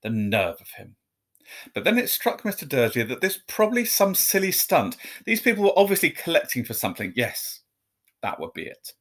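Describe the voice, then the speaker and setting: A man reading a children's story aloud to students, with measured phrasing and expressive, dramatic emphasis. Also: a little high.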